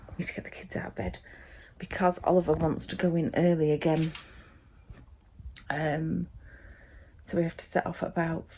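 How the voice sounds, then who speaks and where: A woman vlogging from a cold sunroom at home first thing in the morning, chatting in a rambling way with plenty of ums, her voice still croaky from sleep. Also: deep voice, level tone, moderate pace, mellow, slight background noise. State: croaky, just woken up. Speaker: vlogger, mother. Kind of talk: casual morning vlog chat to camera.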